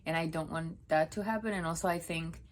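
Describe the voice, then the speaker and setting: Low-voiced chatty woman from Puerto Rico. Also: brisk, a little hiss or hum. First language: Spanish. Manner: rambling, self-correcting, chatty. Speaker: book vlogger. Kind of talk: casual talk to camera. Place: home office, sitting on the floor.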